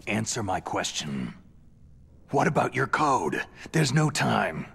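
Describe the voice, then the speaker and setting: Very husky, gravelly covert soldier. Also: male voice, lowish voice, sing-song, slow, exaggerated voice. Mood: urgent, hushed. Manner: hushed, terse, demanding. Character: gruff, terse male covert soldier, hard-nosed interrogator. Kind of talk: video game voice acting.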